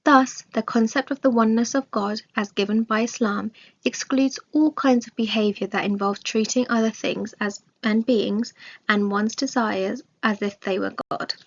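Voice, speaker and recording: A woman reading a scripted religious study aloud in a measured, formal way. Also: on the high side, steady tone. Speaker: reader of religious study text. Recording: dull, lo-fi sound.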